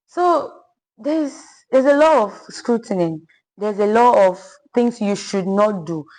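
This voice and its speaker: A young woman from Nigeria, a polite podcast guest who says ma'am and has a naturally loud voice. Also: mid-range voice, expressive, unhurried, rough recording. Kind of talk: podcast interview.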